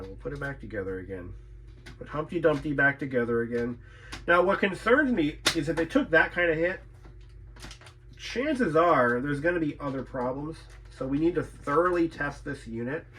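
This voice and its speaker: Higher-pitched man chatting through a repair vlog. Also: noisy background. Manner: conversational, thinking aloud. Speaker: radio repairman and video host. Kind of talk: casual vlog chat while working.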